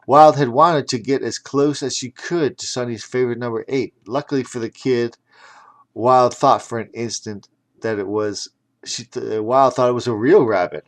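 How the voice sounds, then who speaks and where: A man narrating a children's audiobook theatrically, breaking off for chatty explanatory asides. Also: medium pitch.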